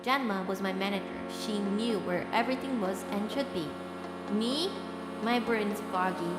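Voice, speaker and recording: A woman playing an anxious, apologetic, cash-strapped young streamer in an audio drama, halting and self-justifying. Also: medium pitch, loud music behind the voice, natural voice. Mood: brooding. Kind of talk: audio drama acting with narration.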